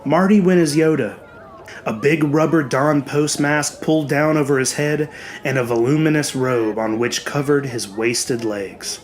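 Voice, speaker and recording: A man reading a horror story as a dramatic audiobook narrator, in a measured, expressive way with deliberate pauses. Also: a little high, slight background noise. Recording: music bed under the voice. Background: background music.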